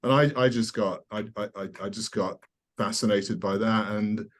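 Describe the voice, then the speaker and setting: An older man from South Wales with a softened British accent, speaking as a guest scientist in a conversational, halting, informal way. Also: fairly low pitch, fairly even intonation. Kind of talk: conversational interview, personal recollection.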